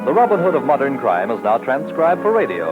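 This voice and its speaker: A man's voice: mid-range voice, big pitch swings, brisk. A man formally and dramatically announcing a mid-century radio show. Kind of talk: 1950s radio show introduction. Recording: tinny old radio recording, loud music. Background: loud theme music.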